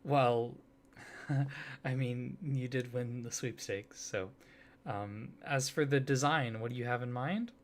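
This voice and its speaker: A man doing a soft-spoken ASMR roleplay, hesitant and flustered, with many fillers, restarts and awkward apologies. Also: mid-range voice, slow.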